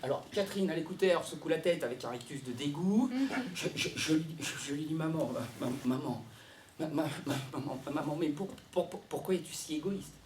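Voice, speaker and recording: An actor playing an exasperated, conflict-averse bridegroom in a theatrical monologue, talking fast and stammering in a roomy space. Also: male voice, fairly high pitch, animated, a little hiss or hum, lightly acted. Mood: stammering, anxious. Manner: stammering, hesitant, rapid-fire. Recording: roomy, echoey sound.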